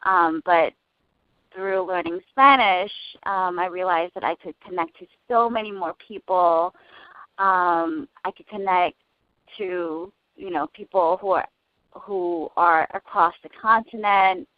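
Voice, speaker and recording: A woman's voice: on the low side, a little slow. Young woman, cantonese first language, earnest and thoughtful, muffled lo-fi sound, no room echo. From United States. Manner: earnest, thoughtful, many hesitations. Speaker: interview guest. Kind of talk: casual reflective interview. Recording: dull lo-fi dry recording.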